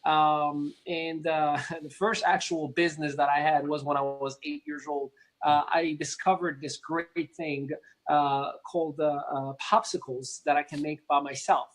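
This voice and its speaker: A man's voice: a little high, flat delivery, measured pace, rough recording. A man in his thirties from Morocco, with a Moroccan accent, telling his life story as an interview guest in an earnest way, often restarting and repeating words.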